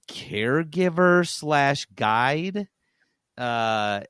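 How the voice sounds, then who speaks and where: A man's voice: mid-range voice, clear, resonant tone, dry recording, clean studio sound. A man co-hosting a podcast, giving a casual, rambling review and often correcting himself as he goes. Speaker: podcast co-host reviewing games.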